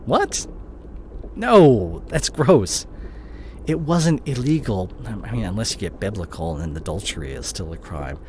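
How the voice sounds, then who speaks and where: Casting: male actor as fallen CEO and unfaithful boyfriend for audio drama; lowish voice, very changeable intonation, anguished and halting. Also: big pitch swings. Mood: flustered, defensive. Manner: halting, emotional, repeats and breaks off words. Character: disgraced CEO boyfriend, anguished, guilt-ridden, confessing his cheating. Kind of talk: dramatic audio roleplay monologue addressed to the listener. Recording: clear vehicle noise behind the voice. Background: car ambience.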